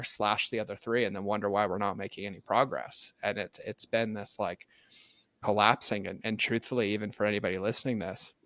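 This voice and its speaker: A man's voice: on the low side, a bit bright, no echo. A middle-aged man talking earnestly and animatedly as a podcast guest, full of "like" fillers. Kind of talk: casual faith conversation.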